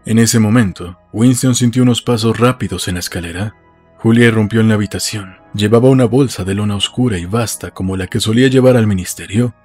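A man's voice: on the low side, brisk, a little mellow, breathy edge. A man narrating a novel as a scripted literary audiobook. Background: faint music.

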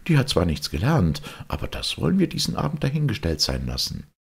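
A man's voice: medium pitch, huge pitch swings, fairly quick, gravelly, husky, voice pushed up, heavily acted. Man voicing a haughty old quill pen. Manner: haughty, dismissive. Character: proud, snobbish old quill pen, female.

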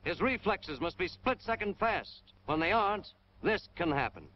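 High-pitched American man, old noisy film narration. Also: big pitch swings, moderate pace. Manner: hard-boiled, punchy, emphatic delivery. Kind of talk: 1940s military training film narration. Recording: dull, noisy 1940s film soundtrack.

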